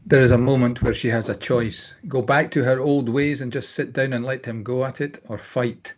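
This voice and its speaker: A man's voice: mid-range voice, fairly even intonation, normal speed, lo-fi sound. A man narrating scripted stage directions at a drama table read.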